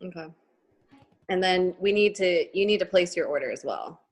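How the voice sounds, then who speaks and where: A woman chatting casually on a video call, talkative and quick to laugh while planning meetings. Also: on the low side.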